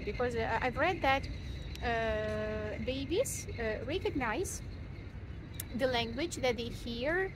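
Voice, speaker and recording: Chatty woman vlogging outdoors by phone. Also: on the high side. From Ukraine. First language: Russian. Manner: chatty, many pauses and fillers, laughs often. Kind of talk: casual vlog chat. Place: outdoors in a city park. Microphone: phone camera held at arm's length. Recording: outdoor phone recording with clear ambient noise.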